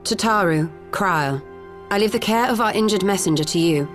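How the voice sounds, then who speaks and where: A woman's voice: medium pitch, fairly quick, soft background music, close to an everyday voice. A woman voicing a cool, decisive sorceress in a fantasy game, giving formal orders with composure. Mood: decisive, commanding.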